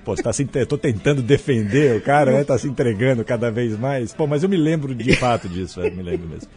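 A man's voice: medium pitch, lively intonation, fast. A man with a Brazilian accent co-hosting live radio, bantering playfully. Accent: Brazilian Portuguese. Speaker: radio co-host. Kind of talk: casual live radio chat.

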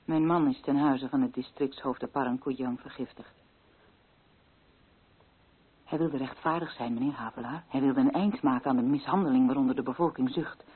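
Radio play widow: grieving and guarded, formal long sentences, slightly rough husky tone, narrow tinny sound. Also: female voice, extremely low pitch. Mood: bitter, guarded. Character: grieving, fearful, watchful widow of a colonial official. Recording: thin, phone-like old radio recording.

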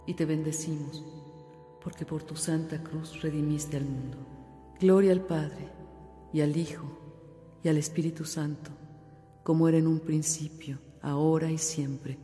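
A woman's voice: sits low, steady tone, unhurried, mellow, soft background music. An actress with a Mexican accent playing a loving, devout mother in a radio drama, tender and reverent. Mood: prayerful.

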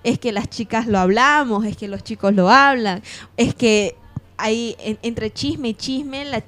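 A woman with a Bolivian accent speaks in a fairly high voice. She talks informally, as on a radio panel, often repeating small words and pausing as she works out each thought. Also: fairly bright tone, dry recording. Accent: Bolivian Spanish. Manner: hesitant, repeats words while working out ideas. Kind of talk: informal on-air discussion.

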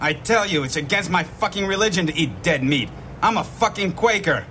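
Sharp, high-pitched furious American man. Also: lively intonation, fairly quick, a little hiss or hum. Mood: furious, disgusted. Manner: aggressive, swearing. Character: furious foul-mouthed religious American passenger on a plane. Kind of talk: satirical radio play acting.

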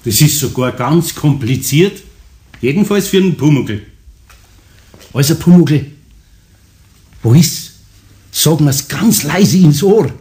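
An actor playing a good-natured older master carpenter in a radio play, talking in homely, gently teasing Bavarian dialect. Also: male voice, fairly high pitch, animated, slow pace, long pauses.

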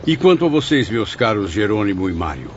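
A man's voice: lowish voice, very animated, a little fast, slight background noise. A man acting an earnest, grateful spirit in a radio drama, speaking in a courteous, formal manner. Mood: concerned. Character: earnest, grateful male spirit, respectful pupil and friend. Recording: slightly dull, muffled audio.

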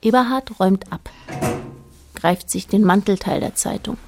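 A woman narrating a radio play as a detached observer, in a measured, matter-of-fact way. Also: mid-range voice, creaky, slight background noise, close to an everyday voice. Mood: detached, observant. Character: detached female narrator observing a married couple.

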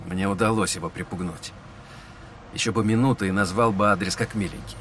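A man playing a patient police lieutenant who talks in a measured, explanatory way. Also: sits low, steady tone, noisy background, natural voice. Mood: explaining. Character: weary young male police lieutenant, patient. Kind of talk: audio drama acting.

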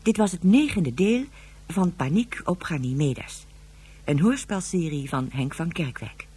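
Low, husky woman announcer. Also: animated, a little slow, mellow. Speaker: credits announcer. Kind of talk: scripted announcement.

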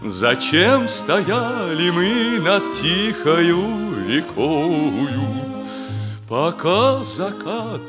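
An actor playing a flustered, smitten middle-aged army major in a 1960s radio comedy, with a theatrical, drawn-out delivery. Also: male voice, sits high, very animated, heavily acted. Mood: lyrical, lovelorn. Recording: 1960s radio play, music behind.

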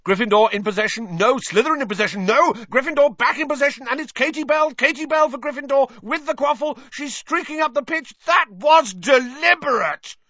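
A man's voice: very high voice, fairly quick, few pauses, bright, forceful tone, no room sound, lo-fi sound, pitched above the performer's own voice. A man doing a frantic young sports commentator. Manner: frantic, cheering, biased play-by-play. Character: excitable young male quidditch commentator.

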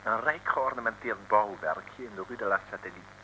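The wry storyteller of an old comic radio serial. His voice is fairly low and crisply bright, with faint hiss behind it. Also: normal speed, poor audio. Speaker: narrator. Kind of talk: scripted 1960s radio serial narration.

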